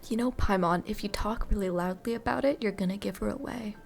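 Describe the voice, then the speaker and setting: Wry, creaky-voiced woman gamer. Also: medium pitch, fairly quick. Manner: amused, wry asides. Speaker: gaming video creator. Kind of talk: casual playthrough commentary. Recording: faint game music behind the voice. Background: game music.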